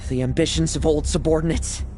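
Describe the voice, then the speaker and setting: A man's voice: a little high, fairly even intonation, brisk, mellow, slight background noise, lightly acted. A man voicing a cool, self-assured young knight officer in a video game, composed and wry with dramatic phrasing. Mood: resolute.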